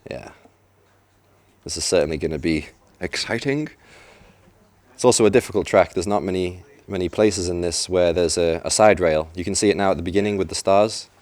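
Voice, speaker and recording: A man's voice: deep voice, a little fast, a little mellow, dry recording. A man commentating a live racing video game match, chatty and explaining tactics. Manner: chatty, explanatory, some restarts. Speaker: esports commentator. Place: event venue.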